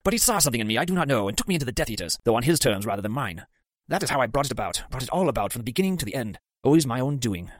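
A man's voice: mid-range voice, sing-song, no room sound. A man voicing a guilt-ridden male potions master who speaks formally and in pain. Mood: grave. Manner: formal, measured, pained.

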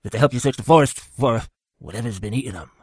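A man's voice: mid-range voice, quick pace, a little mellow, husky, dry recording, studio quality, lightly acted. A man playing a cruel, leering school caretaker in an audiobook, nasty, gloating and menacing in manner. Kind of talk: audiobook character acting.

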